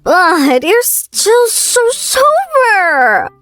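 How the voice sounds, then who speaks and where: High-pitched pouty young lady boss, acted by a woman. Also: sing-song, extremely slow, a bit bright, soft background music, full character voice. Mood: drunk, demanding. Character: young female boss, tipsy, pouty, lovelorn ex-girlfriend. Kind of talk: manga dub character acting.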